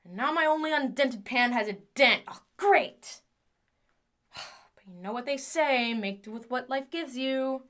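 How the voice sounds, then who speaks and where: Woman acting an excitable, high-voiced scheming lady inventor. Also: unhurried. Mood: chatty, exasperated. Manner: theatrical, talking to herself, swinging between moods. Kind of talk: audio drama monologue.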